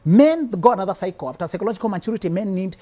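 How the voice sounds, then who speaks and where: A male psychologist from Kenya gives relationship advice on a live show. His pitch swings widely as he talks. He sounds preachy and playful, often breaking into laughter. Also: expressive, rapid-fire, dark tone. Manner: preachy, laughs often, teasing punchlines, repeats words. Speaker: psychologist guest.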